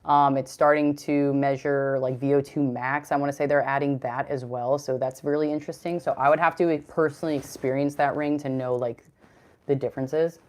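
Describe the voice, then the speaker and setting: Deep-voiced young woman, casual fitness streamer, loose and talkative. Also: flat delivery, brisk, a little mellow. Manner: chatty, rambling, reads chat comments aloud. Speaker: fitness livestream host. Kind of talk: casual livestream chat.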